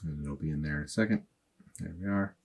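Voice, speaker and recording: A man in his thirties at his desk, walking through a software tutorial and thinking aloud in a plain, unhurried way. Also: rumbling low, creaky, breathy edge. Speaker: automation tutorial host. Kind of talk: casual screen-recorded tutorial. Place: small indoor room at a desk.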